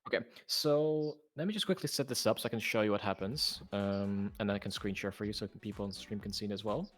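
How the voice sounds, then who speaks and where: A man's voice: fairly low pitch, fast. A man chatting with a friend over a call, insistent and playful, often repeating words as he pushes his point. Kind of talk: casual chat over a call.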